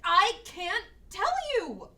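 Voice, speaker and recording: A woman's voice: extremely high pitch, sing-song, slow pace, pushed and bright, exaggerated voice. A woman playing a secretive, defiant character under pressure in a radio drama. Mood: desperate. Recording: slightly distant mic, noisy ambience.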